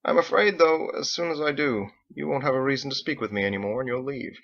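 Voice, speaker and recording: An elderly storybook father, acted out by a male reader, effusive and pious. He wheedles and exclaims in a pitch that lifts above the reader's own, with a creaky edge, on a slightly dull recording. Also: fast, fairly bright tone. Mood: charming, needling. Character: charming, fretful elderly father and tavern keeper, pious.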